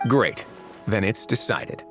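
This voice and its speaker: A man's voice: on the low side, expressive, takes it slowly, music in the background. A man voicing a laid-back, courteous prison-running duke in a video game, with a wry, easygoing manner. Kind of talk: English video game voice acting.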